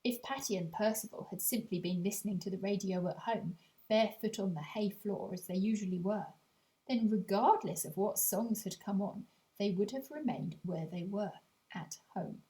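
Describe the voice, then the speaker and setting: A woman reads her own children's audiobook at a measured pace, with a voice of middling pitch. Her delivery is gently wry, and she often turns to speak straight to the reader. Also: poor audio. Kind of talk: scripted audiobook narration.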